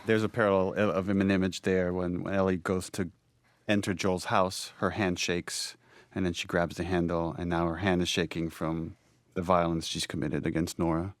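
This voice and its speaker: A man's voice: low voice, flat delivery, moderate pace, no echo. A man giving unscripted behind-the-scenes commentary in a thoughtful, explanatory way, feeling out his phrasing. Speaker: game director giving commentary.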